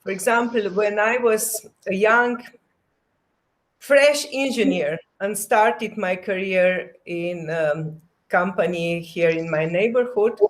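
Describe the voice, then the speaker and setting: Woman, slow pace, careful halting phrasing, personal recollection. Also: mid-range voice, low-quality recording. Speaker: roundtable panelist.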